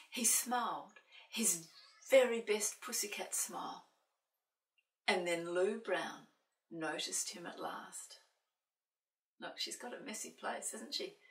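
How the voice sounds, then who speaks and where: A woman reading a children's picture book aloud in an expressive way, with playful, dramatic asides to young listeners. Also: mid-range voice, vocal fry. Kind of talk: expressive children's storybook narration. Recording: roomy, slightly distant microphone.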